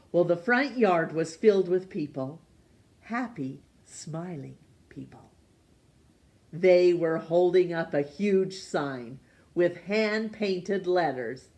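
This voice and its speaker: Slow, gentle woman reading a storybook. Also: lowish voice. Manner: gentle, slow and expressive, voicing characters. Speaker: teacher reading aloud. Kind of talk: children's storytime read-aloud.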